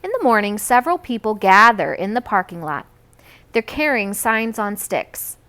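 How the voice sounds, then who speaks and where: A woman reading a children's book aloud in an expressive storytelling style. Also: mid-range voice, normal speed. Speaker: read-aloud reader.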